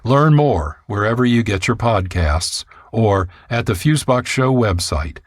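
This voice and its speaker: A man's voice: lowish voice, lively intonation, unhurried, no echo, studio quality. A man reading scripted narration as a radio producer and host.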